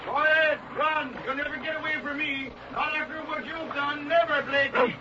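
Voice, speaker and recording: A man's voice: very high-pitched, steady tone, moderate pace. Taunting vengeful seaman, radio play, menacing, muffled and distant behind heavy din. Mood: mocking, menacing. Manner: menacing, mocking. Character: taunting, vengeful man hounding his betrayer at sea. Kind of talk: old-time radio drama acting. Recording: distant dull recording, loud sea-like noise.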